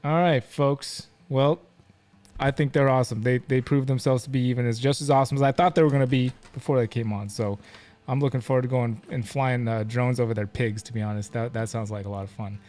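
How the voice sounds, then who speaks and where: A man's voice: medium pitch, fairly even intonation, brisk, a little mellow. A man hosting a live stream, chatting casually with guests in an informal, jokey, rambling way.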